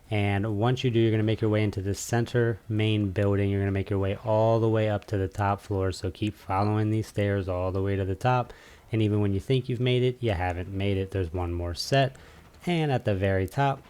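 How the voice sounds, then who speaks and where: A man's voice: lowish voice, darkish tone. A man giving a casual, easygoing video game walkthrough as an instructional guide.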